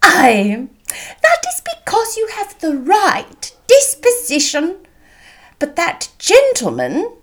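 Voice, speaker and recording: A woman's voice: extremely high pitch, huge pitch swings, takes it slowly, a bit bright, voice pushed up, full character voice. A woman voicing a fussy, self-important middle-aged mother in a period novel, effusive and exclamatory. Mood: huffy.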